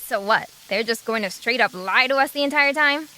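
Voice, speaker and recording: A woman's voice: fairly high pitch, a little fast, sharp tone. A woman in an audio drama playing an outspoken, sarcastic girl who argues back. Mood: indignant. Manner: sarcastic, argumentative. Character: outspoken, quippy human girl, impatient.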